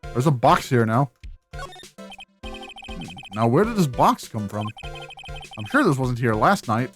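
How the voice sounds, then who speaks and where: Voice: medium pitch, big pitch swings, measured pace, soft background music. A gamer doing a let's play, reading game text aloud and commenting casually. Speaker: video game streamer.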